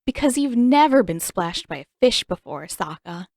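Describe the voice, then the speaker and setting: A woman's voice: mid-range voice, sing-song, moderate pace, creaky, darkish tone, no echo, clean studio sound. A woman voicing a caring, sensible teenage girl in a fan-made audiobook reading. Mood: teasing.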